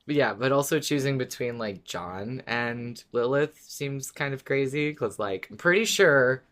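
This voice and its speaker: Mid-pitched podcast co-host chatting casually. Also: animated, measured pace, a bit bright. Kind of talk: casual discussion of fan theories.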